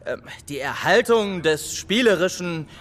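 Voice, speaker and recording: A man's voice: high voice, lively intonation, unhurried, fairly bright tone, big-room sound. An actor playing an eager, easily flustered boy in a children's audio drama. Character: eager flustered boy adventurer, quick to explain.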